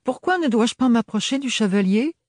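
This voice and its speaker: A man voicing a young woman, clumsy but calm and plain-spoken. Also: very high-pitched, normal speed, no room sound, clean studio sound, voice pushed up. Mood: earnest.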